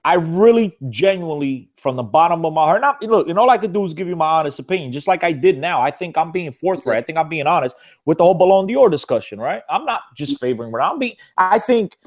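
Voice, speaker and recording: A man from Portugal talks football on a sports podcast with a slightly high voice. He is emphatic, repeats his points for stress and laughs easily. The sound is dry and close to the mic. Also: expressive, fast talker, no echo. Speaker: podcast panelist. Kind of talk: casual sports debate.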